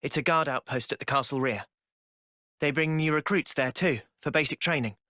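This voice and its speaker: A man playing a thoughtful, earnest young soldier in a video game, speaking in a measured, reflective way. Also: on the high side, sharp tone, dry recording, studio quality. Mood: calm, decisive. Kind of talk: video game cutscene voice acting.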